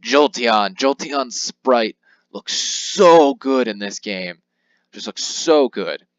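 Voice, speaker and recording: A man's voice: mid-range voice, lively intonation, takes it slowly, dry recording, highs cut off. A young man casually commenting on his playthrough of a handheld game, rambling and poking fun at himself. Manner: rambling, self-deprecating, reads game text aloud in voices. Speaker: let's play host.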